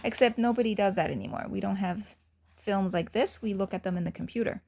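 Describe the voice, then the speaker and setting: A woman's voice: medium pitch, a little fast, creaky, warm tone. A woman reading a picture book aloud to children, with chatty asides to them.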